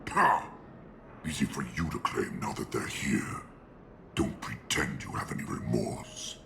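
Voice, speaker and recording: An actor playing a bitter, wounded alien survivor, weak and strained, spitting clipped accusations in a rough voice. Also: male voice, sing-song, unhurried, noisy background, exaggerated voice. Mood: bitter, scornful. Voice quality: very deep, rough, gravelly. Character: bitter, dying alien survivor, hostile and scornful.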